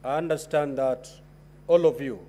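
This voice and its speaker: A middle-aged man with a Kenyan English accent presiding as Speaker over formal chamber proceedings, speaking with halting authority through a desk microphone. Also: medium pitch, dark tone, slight background noise. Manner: authoritative, halting, with rising emphasis. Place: large parliamentary chamber.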